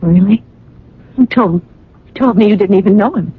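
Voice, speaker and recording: A woman's voice: mid-range voice, moderate pace, breathy edge. Wistful young woman, muffled old radio. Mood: curious, earnest. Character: wistful, earnest young woman traveler, quietly insistent. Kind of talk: 1980 radio drama acting and first-person narration. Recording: muffled vintage radio with music bed.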